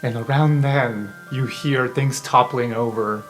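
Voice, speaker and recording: A man's voice: medium pitch, fairly even intonation, unhurried, over music. A man narrating an improvised tabletop game casually as game master.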